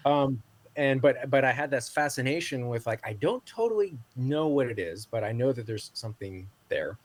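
A man's voice: medium pitch, animated, normal speed. A man in his forties, a podcast guest, talking casually with many fillers and restarts. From Southeast Asia. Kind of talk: casual interview talk.

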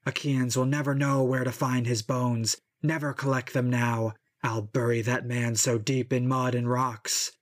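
A man's voice: level tone, a little slow, hardly any pauses, lightly acted. A man voicing a wrathful river god. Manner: booming, vengeful threats. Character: raging river god, male, vast and furious.

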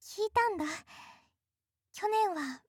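女性声優が、ためらいがちに話す内気な女子高生を演じている。. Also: female voice, very high voice, expressive, takes it slowly, dry recording, clean studio sound, full character voice. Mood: gentle. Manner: soft, hesitant. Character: shy, timid high school girl. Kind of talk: voice drama acting.

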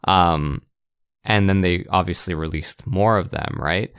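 A man with a low voice co-hosts a casual video game podcast. He is conversational and opinionated, and the sound is dry with no room in it. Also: unhurried, fairly bright tone, smooth, no room sound. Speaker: podcast co-host.

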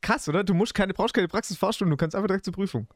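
A young man with a fairly high voice co-hosts a podcast among friends. He speaks in loose, slangy, colloquial phrases, recorded close and dry with no room sound. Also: racing, dry recording. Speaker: podcast co-host. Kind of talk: casual chat among friends.

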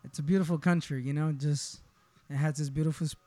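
A man's voice: on the high side, fairly even intonation, fast, mellow, no echo. A man in his twenties from Honduras, a podcast guest in a barbershop, telling his story in a laid-back way and often asking "you know what I mean". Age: 20s. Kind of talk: casual personal storytelling.

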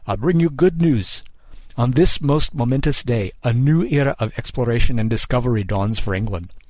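A man's voice: mid-range voice, expressive, moderate pace, darkish tone, no room sound, natural voice. A man voicing a pompous, self-important English king who declaims grandly to his subjects in an audiobook. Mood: grand, proclaiming. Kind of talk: audiobook character voice.

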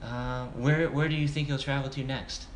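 An American woman hosting a relaxed, casual conversation in everyday language. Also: extremely low pitch, moderate pace. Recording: roomy echo, faint background noise.

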